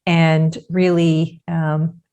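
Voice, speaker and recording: Woman, low voice, measured podcast guest, frequent fillers. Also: flat delivery, mellow, clear, resonant tone. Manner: thoughtful, measured, many "you know" fillers. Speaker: author interviewed as guest. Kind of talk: podcast interview.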